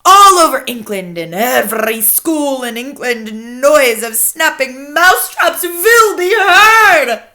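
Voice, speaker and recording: A woman as a high, drawling, gloating old witch. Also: expressive, bright, forceful tone, full character voice. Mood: gleeful, excited. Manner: exaggerated, drawn-out, chanting rhymes. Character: gloating evil old female witch leader, gleeful and theatrical.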